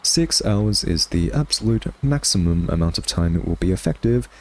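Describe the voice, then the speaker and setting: A man's voice: deep voice, animated, moderate pace, slight background noise. A man playing a smug male vampire in an ASMR roleplay, taunting a captured hunter with a sardonic, teasing, conversational drawl. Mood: dry reading aloud.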